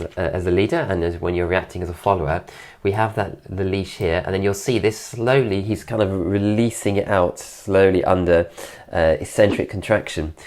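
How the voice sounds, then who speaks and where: A man with a very low, deep voice and a stuffy, congested nose, still getting over an illness. He talks in a relaxed voice-over commentary and keeps praising details in an admiring way, close to the mic. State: recovering from illness, voice deeper than usual. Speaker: dance teacher reviewing a dance video.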